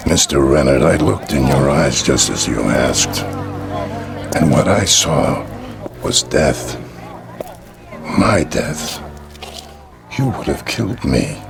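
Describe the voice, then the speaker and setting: A man acting a gentle, cryptic old street peddler in a radio thriller, speaking in a measured, deliberate way. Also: a bass voice, lively intonation, gravelly, over music, exaggerated voice. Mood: grave, sorrowful. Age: older.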